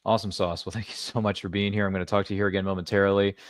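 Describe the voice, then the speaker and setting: A man's voice: on the low side, level tone, rapid-fire, dry recording, clean studio sound. A man hosting a podcast, wrapping up the episode in a casual, upbeat and rambling way. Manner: upbeat, rambling, self-deprecating. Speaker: podcast host. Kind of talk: casual show wrap-up and plugs.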